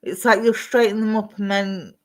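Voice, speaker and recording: A woman giving a live-streamed psychic reading. She is gentle and reassuring, calls people darling and sweetheart, and rambles with ums and restarts. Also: medium pitch, fairly even intonation, moderate pace. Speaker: psychic medium. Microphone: streaming setup at home.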